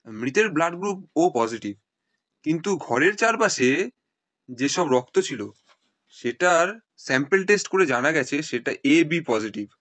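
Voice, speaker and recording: A man's voice: a little high, fairly bright tone, dry recording. An actor playing a male police inspector who reports case findings in an official, informative manner.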